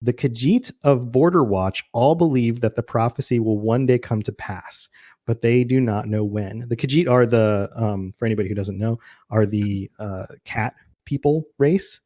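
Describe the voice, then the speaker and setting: A man's voice: mid-range voice, normal speed, warm tone. A man chatting informally and casually as a podcast host about game lore, often chuckling.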